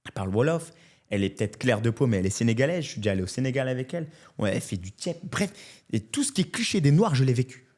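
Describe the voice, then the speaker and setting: French man in his thirties, comedian guest, candid, animated storyteller, mid-pitched voice, casual chat. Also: rapid-fire. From France. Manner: animated and candid, often adds "tu vois".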